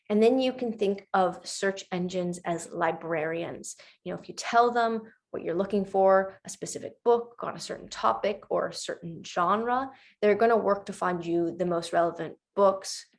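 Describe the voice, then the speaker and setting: A woman's voice: mid-range voice, gravelly. Woman teaching a beginners' webinar. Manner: conversational, patient step-by-step explaining with simple examples. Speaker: webinar instructor. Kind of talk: explanatory presentation for beginners.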